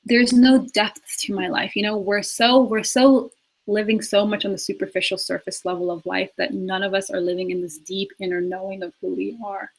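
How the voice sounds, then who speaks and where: A woman's voice: mid-range voice, fairly even intonation, normal speed, poor audio. A woman in her twenties, a life coach, talking earnestly in a live Q&A and repeating words for emphasis. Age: 20s. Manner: earnest, repetitive, emphatic, with laughs and restarts. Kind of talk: live Q&A talk on spirituality.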